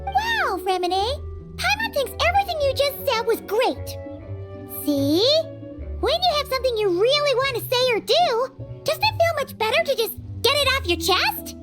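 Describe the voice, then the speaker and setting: A woman voicing a small, excitable, childlike fairy companion in an anime game, squeaky, chatty and exclamatory. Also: normal speed, loud music behind the voice. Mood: sympathetic, cheerful. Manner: speaks of herself in third person, exclamatory.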